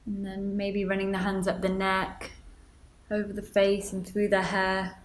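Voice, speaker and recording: A woman leading a guided meditation, gently giving instructions with deliberate pauses. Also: mid-range voice, completely flat delivery, rough recording.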